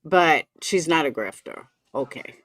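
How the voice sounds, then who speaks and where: A woman's voice: sits low, expressive, unhurried, a bit bright, no room sound. A woman giving casual live commentary, blunt and gossipy, with plenty of swearing. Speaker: commentary streamer.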